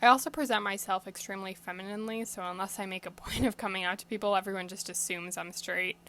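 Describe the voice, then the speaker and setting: Bright-voiced teen girl, earnest essay read. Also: medium pitch, steady tone, fast. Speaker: contributor reading her essay. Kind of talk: scripted personal essay reading.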